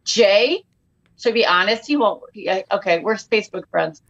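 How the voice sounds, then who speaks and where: A woman's voice: mid-range voice, lively intonation, moderate pace, a bit bright, slightly rough, dull audio. A woman comedian chatting as a podcast guest, telling stories in a dramatic, self-mocking way. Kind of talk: animated comic storytelling and chat.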